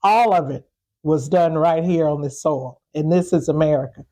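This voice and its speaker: A woman speaking off the cuff as an interview guest, pausing mid-phrase and repeating words as she goes. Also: low-pitched, mellow. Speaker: interview guest, historical preservation group organizer. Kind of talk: unscripted interview talk.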